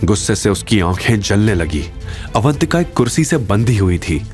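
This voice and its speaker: A man's voice: fairly low pitch, sing-song, moderate pace, darkish tone, faint music behind. A man giving dramatic scripted narration as the storyteller of an audio drama.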